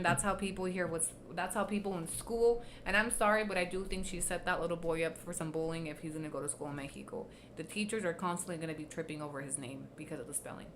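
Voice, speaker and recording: A woman whose first language is Spanish hosts a casual livestream commentary. Her voice sits low, and she is blunt and sarcastic, swearing freely as she reacts. A faint hiss of noise runs under her voice. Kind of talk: casual commentary reading posts aloud.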